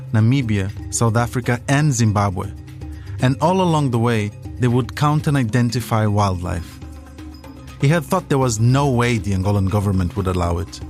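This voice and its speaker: Guy narrating a documentary podcast, normal pitch, music playing behind him. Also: a little mellow.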